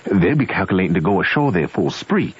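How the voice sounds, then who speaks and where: An actor playing a shrewd runaway river traveler, speaking in a folksy, colloquial way in a radio drama. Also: male voice, low-pitched, sing-song, brisk, breathy edge, exaggerated voice. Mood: watchful scheming. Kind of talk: old-time radio drama acting. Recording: muffled old radio, loud music and crowd.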